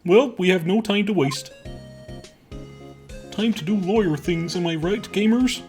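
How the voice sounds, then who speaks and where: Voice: mid-range voice, measured pace, a little mellow, lightly acted. A streamer playing an earnest young male defense lawyer in a live game dub, with dry, sarcastic asides. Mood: wry, matter-of-fact. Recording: music playing behind the voice.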